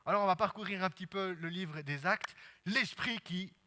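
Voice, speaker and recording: A Swiss French pastor preaching in a high, very bright voice. He scatters amens and hallelujahs through his sermon. His delivery swings from hushed asides to loud, driving passages. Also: normal speed, a little hiss or hum.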